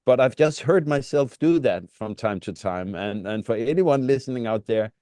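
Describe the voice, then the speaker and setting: A man's voice: mid-range voice, expressive, moderate pace, darkish tone, no room sound. A middle-aged man, a native Danish speaker with a Danish accent, co-hosting a casual reflective conversation and often searching for words. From Denmark. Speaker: podcast co-host.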